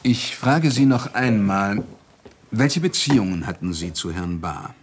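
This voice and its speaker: A man playing a firm, courteous police inspector in a German crime radio drama, formal and insistent, with a husky voice. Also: fairly low pitch, animated, unhurried, exaggerated voice. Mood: firm, probing.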